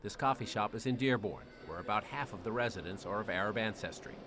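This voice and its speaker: A man's voice: on the low side, fast, crowd noise. A man narrating a scripted news report as a correspondent.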